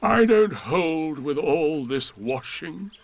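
A gloomy old donkey from a storybook grumbles on in a slow, gravelly drawl, as a man puts him on. The voice sits fairly high for a man but drags each word along with a rough fry. He disapproves of everything around him. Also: animated, exaggerated voice. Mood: grumbling. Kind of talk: storybook reading.

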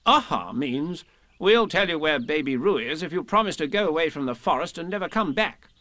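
A self-important rabbit from a children's tale. The voice is pitched high and swings about as it declaims its schemes with pompous, bossy briskness. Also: male voice, animated, bright, forceful tone, no room sound.

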